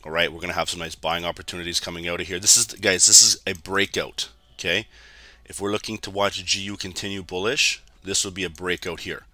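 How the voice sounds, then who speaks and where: A man from Canada with a raspy, hoarse voice, giving casual live commentary on a trading stream and talking straight to his viewers. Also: low voice, fairly even intonation, a little fast, bright, forceful tone, no echo. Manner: chatty, keeps addressing viewers as guys.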